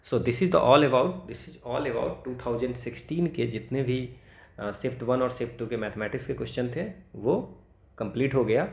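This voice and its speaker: A man's voice: medium pitch, moderate pace, vocal fry, roomy sound. A man tutoring math, solving exam problems aloud, thinking through steps and correcting himself.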